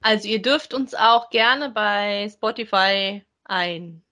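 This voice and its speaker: A woman's voice: mid-range voice, slow, sharp tone. Female podcast co-host, mid-range voice, informal conversational delivery. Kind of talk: casual chat about audio dramas.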